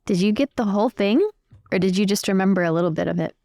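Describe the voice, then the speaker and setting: A woman's voice: mid-range voice, fast, warm tone, no room sound. Casual woman player, dry close recording. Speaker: tabletop game player. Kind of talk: casual improvised in-character chat.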